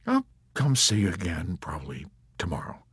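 A man voicing a shy, apologetic military chaplain in a novel, mumbling softly and hesitantly. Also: sits low, huge pitch swings, moderate pace, breathy edge, no echo, studio quality, heavily acted. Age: 30s.

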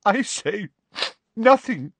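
A man's voice: expressive, slow pace, a bit bright, no room sound, full character voice. A man doing a hysterical, theatrical old seer woman. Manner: high, hysterical, throbbing and theatrical. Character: overwrought eccentric older female seer teacher.

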